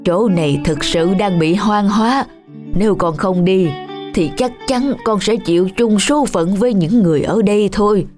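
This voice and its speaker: Woman voicing a frail, gentle old cartoon father. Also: medium pitch, moderate pace, faint music behind, close to an everyday voice. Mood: resigned, caring. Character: frail, wise, self-sacrificing old father. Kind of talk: cartoon folktale dubbing.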